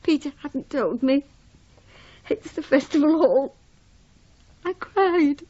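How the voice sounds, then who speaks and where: An abandoned wife and mother in a radio play. Her voice is high and plaintive, full of wistful, needy self-pity. The sound is a little dull and muffled. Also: expressive, takes it slowly, mellow. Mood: hurt, plaintive. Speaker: actor in a radio drama. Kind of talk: radio drama acting.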